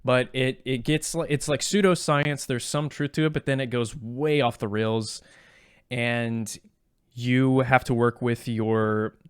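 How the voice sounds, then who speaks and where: A man's voice: medium pitch, fairly even intonation, measured pace, clean tone, no echo, studio quality. A man co-hosting a podcast, chatting casually about video games in a joking, self-deprecating way. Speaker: podcast co-host.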